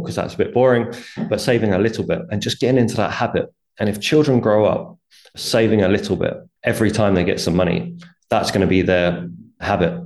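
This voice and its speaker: A man's voice: deep voice, darkish tone. A man, a guest author, explaining money habits earnestly in a conversational interview, with light laughs.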